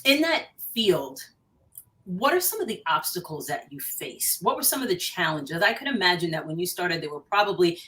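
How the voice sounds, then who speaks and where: An American woman with a slightly low-pitched voice hosts an interview. She talks conversationally and affirms what she hears, often repeating a phrase as she works toward her question. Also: sing-song, moderate pace, fairly bright tone. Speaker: interview host, educator.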